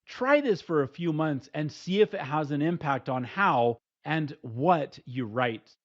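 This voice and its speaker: Middle-aged male instructor, steady and plain, a bit high-pitched, with muffled lo-fi sound. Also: unhurried. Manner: steady, plain, numbered advice. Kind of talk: scripted instructional talk. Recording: dull lo-fi recording.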